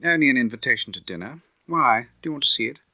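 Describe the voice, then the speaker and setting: A man voicing a sober, discreet gentleman lawyer in an audiobook, speaking in a formal, measured way. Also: medium pitch, expressive, dry recording, dull audio, pitched above the performer's own voice. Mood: probing. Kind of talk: audiobook character acting.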